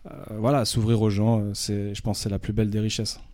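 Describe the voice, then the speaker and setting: Low, mellow-voiced radio guest, a man. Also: level tone, fast, no echo. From France. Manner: hesitant, many fillers and restarts. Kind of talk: casual interview talk.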